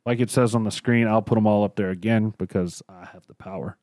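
A man's voice: fairly low pitch, fairly even intonation, a little fast, darkish tone, dry recording, clean studio sound. Man with a cough, rambling. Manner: rambling, loses his train of thought. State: coughing, tickly throat. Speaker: podcast co-host. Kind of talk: casual chat.

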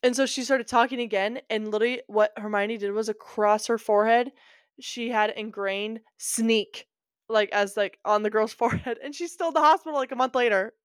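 A woman co-hosting a podcast, chatting casually with many fillers and frequent laughs, in a slightly deep voice. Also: fairly even intonation, fairly quick, dry recording, clean studio sound. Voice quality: rambling, filler-heavy, laughs often; deeper voice. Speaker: podcast co-host. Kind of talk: casual chat.

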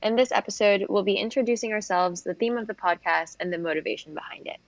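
A woman's voice: mid-range voice, fast, no echo, muffled recording. A woman in her twenties, born in the Philippines, co-hosting a podcast with a dry, lightly sarcastic manner. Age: 20s. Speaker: podcast co-host. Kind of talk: podcast intro, partly read.

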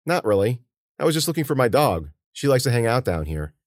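An easygoing man of about thirty , acted by a male narrator, casual and friendly in story dialogue. His pitch is lively and the sound is dry. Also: a little fast, no room sound, natural voice.

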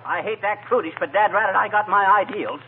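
An actor playing a bumbling, excitable, folksy husband in a 1940s radio comedy, with a blustering manner. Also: male voice, high-pitched, fast talker, phone-quality sound. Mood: indignant, flustered. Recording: noisy old radio recording, loud studio audience.

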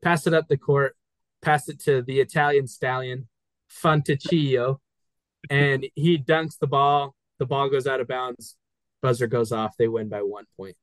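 A man's voice: mid-range voice, steady tone, measured pace, dry recording, low-quality recording. A man co-hosting a casual sports podcast, eagerly walking through a game's final play. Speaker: guest co-host.

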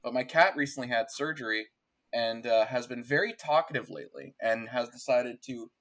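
A man's voice: medium pitch, normal speed, a bit bright. A man who is a little sick, chatting as a podcast guest with wry jokes. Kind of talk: casual group podcast chat.

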